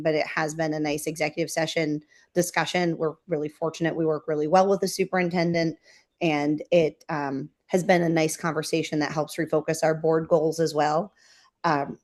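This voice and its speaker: An American woman speaking as a board chair, giving an informal meeting update in an agreeable, conversational manner. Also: low voice.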